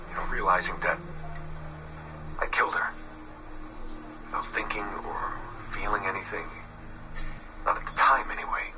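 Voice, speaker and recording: An actor playing a weary, haunted young man, recording a halting, reflective confession in a subdued murmur for a game. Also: lowish voice, close to an everyday voice. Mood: pained, subdued. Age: young adult. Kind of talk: recorded confessional audio diary, game voice acting. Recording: distant game audio with music behind. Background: music.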